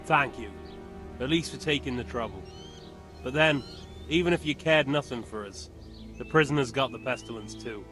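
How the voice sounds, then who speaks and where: An actor playing a weary, distrustful medieval village leader in a video game, grave and blunt. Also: male voice, medium pitch, normal speed, long pauses, a bit bright, soft background music, close to an everyday voice. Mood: worried, weary.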